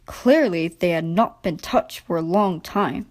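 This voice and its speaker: Unhurried woman reading a children's story. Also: lowish voice, darkish tone. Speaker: storybook narrator. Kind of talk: scripted children's story narration.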